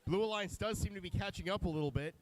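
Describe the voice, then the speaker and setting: A man with a notably high voice commentates on a robotics match at a live competition. He explains rules and wiring in a technical, explanatory way, often pausing on fillers mid-sentence. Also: no room sound. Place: competition venue.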